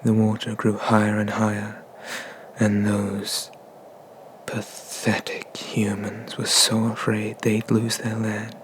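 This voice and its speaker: A man performs an arrogant, vengeful dragon deity for an ASMR roleplay. The voice is low and very unhurried, with cold disdain and menace that often drops into a whisper. Noticeable background noise runs under the recording. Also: completely flat delivery. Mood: bitter, wistful. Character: haughty, vengeful dragon god exiled to a temple.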